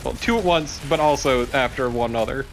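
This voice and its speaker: A man's voice: medium pitch, brisk, vocal fry. Blunt male gamer, faint noise behind. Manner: blunt, sweary, opinionated. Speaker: let's play co-commentator. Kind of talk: casual gameplay commentary.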